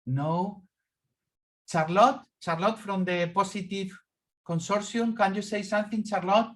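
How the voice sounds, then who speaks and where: A man moderating an online meeting over a video call, halting and repeating words as he calls on participants. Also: high-pitched, steady tone, fairly bright tone.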